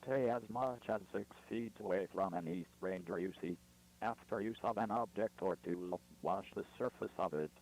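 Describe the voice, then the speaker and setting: A man's voice: deep voice, moderate pace, a little mellow, slight background noise, low-quality recording. A man playing a stern, impersonal emergency alert announcer, giving formal scripted instructions. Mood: stern, official.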